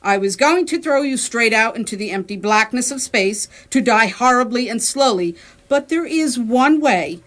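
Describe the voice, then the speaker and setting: Woman as a high, pompous alien captain. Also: lightly acted. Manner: declamatory, theatrical. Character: menacing, pompous alien Vogon captain reciting awful poetry. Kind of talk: radio play acting.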